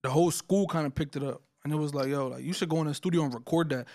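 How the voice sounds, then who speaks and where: A man's voice: fairly high pitch, very fast, darkish tone. A young man from New Jersey, a rapper guest, talking casually and laid-back into a studio mic on a couch. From Paterson, New Jersey. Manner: laid-back, slangy, repeats phrases. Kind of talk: casual interview chat. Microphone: studio mic on boom arm.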